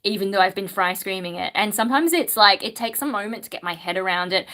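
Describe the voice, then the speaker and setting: A woman talks through viewer questions on a casual livestream. Her voice is bright and forward, sitting in an ordinary middle range. She muses aloud with plenty of ums as she thinks. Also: mid-range voice, fast talker. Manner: chatty, musing, lots of ums, reads chat questions aloud. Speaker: singer and streamer answering chat.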